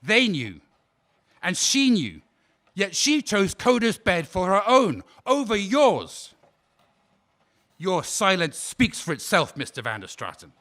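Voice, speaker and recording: A man's voice: high voice, huge pitch swings, slow, pauses often, a bit bright, heavily acted. A man playing a pointed, accusing barrister. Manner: pointed, accusing cross-examination. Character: accusatory courtroom counsel man, 1940s. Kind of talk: radio drama acting.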